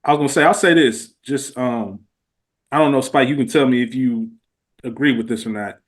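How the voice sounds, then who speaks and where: A man's voice: mid-range voice, a little fast. An American man speaking earnestly in a casual podcast discussion, repeating phrases for emphasis. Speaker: podcast panelist.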